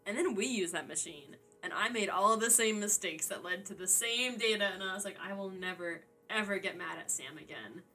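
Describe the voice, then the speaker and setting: A young woman chatting as a podcast co-host, her voice bright and forward. She talks with lively energy and quick asides, and faint music sits under the talk. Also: mid-range voice, husky. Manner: animated, laughs often, quick asides. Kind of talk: casual chat.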